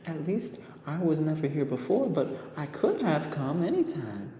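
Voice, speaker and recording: A man's voice: fairly high pitch, big pitch swings, moderate pace, mellow, pitched above the performer's own voice. A man puts on a ten-year-old girl, her voice pushed far up, eager and stammering, sometimes squealing with insistence. Her lines ring in a roomy space with a long echo tail. A faint ambient hiss sits underneath. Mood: flustered.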